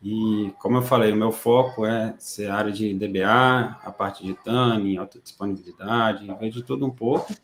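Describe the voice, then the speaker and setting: Relaxed man in an echoey room. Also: mid-range voice, slight background noise. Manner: relaxed, joking. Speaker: DBA team leader. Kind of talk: online class introduction.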